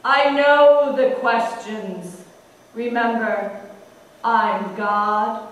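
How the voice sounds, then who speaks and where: A woman voicing God as a slow, commanding male deity. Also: a little high. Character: booming all-knowing male God. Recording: echoey hall from far off.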